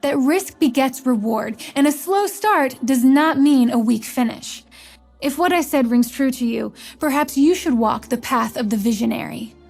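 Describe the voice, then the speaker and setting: A woman playing a solemn, commanding visionary mentor in a fantasy game, speaking in a measured, portentous, rhetorical way. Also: sits high, gravelly, darkish tone, faint music behind. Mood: solemn, persuasive. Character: solemn, commanding female visionary mentor recruiting chosen leaders. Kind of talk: scripted fantasy game voice lines.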